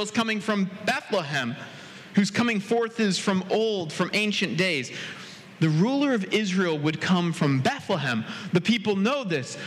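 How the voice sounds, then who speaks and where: Bright-voiced American preacher in a reverberant hall. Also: male voice, sits high, slight background noise. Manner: storytelling with quoted dialogue, varied pace. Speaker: pastor. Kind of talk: sermon. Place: church sanctuary.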